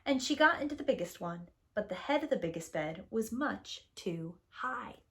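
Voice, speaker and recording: A woman reading a storybook aloud to young children as a preschool teacher, with expressive, emphatic storytelling. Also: mid-range voice, moderate pace.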